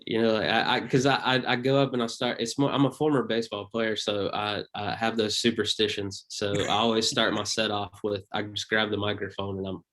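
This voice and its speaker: A man with a Southern accent, a stand-up comedian chatting casually as a guest in a relaxed, rambling way. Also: on the low side, fairly quick, few pauses. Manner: laid-back, rambling, laughs often. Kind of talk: casual interview chat.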